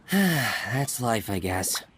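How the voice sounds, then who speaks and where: A man playing an opinionated, clueless young townsperson in a video game, blunt and expressive. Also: mid-range voice, extremely slow, slightly rough, heavily acted. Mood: resigned.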